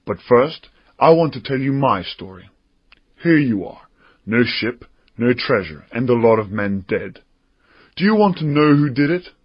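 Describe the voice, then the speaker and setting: An actor playing an earnest, defiant boy adventurer in an audiobook drama, with a slightly husky voice. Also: medium pitch, big pitch swings, measured pace, dry recording, muffled recording.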